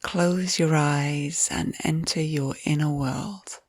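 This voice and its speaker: A woman narrating a scripted guided visualisation in a soft, soothing, unhurried manner, with breathy phrase endings. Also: low voice, steady tone, dry recording. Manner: soothing, unhurried, gentle instructions.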